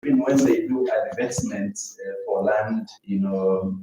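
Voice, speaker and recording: A man's voice: fairly low pitch, fairly even intonation, normal speed, mellow, a little hiss or hum. A man with a Zambian accent giving an unscripted statement as a civil society officer. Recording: very echoey, distant microphone.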